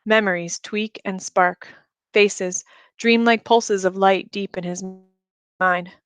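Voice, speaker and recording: A woman reads a novel aloud as a scripted narration. Her voice sits at a middle pitch, and the recording is dry and close, with very little room in it. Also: fairly even intonation, takes it slowly, clean tone, dry recording. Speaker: reader of an audiobook.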